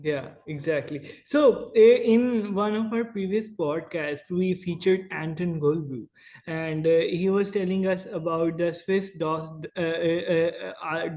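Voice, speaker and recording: A male podcast host with a high voice puts his questions to a guest. He hesitates often and fills the pauses with "uh". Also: a little mellow. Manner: hesitant, many fillers. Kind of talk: interview questions.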